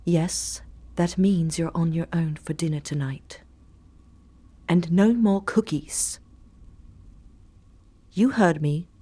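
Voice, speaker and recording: A woman playing a guarded, caring doctor in an audio drama, breathy and hushed, gentle but firm. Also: fairly low pitch, takes it slowly, long pauses. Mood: fond, firm. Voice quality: breathy, husky.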